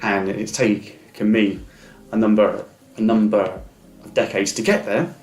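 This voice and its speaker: A middle-aged business advisor who stammers, giving a measured, thoughtful interview answer with small blocks and repeats. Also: male voice, lowish voice, fairly even intonation, darkish tone, echoey room, faint music behind.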